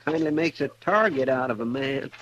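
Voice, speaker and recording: Actor as fretful folksy deputy, stammering drawl, faint hiss, old radio western. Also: male voice, mid-range voice, animated, normal speed, fairly bright tone, highs cut off, natural voice. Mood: worried. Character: anxious, loyal frontier deputy. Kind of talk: 1950s radio drama acting.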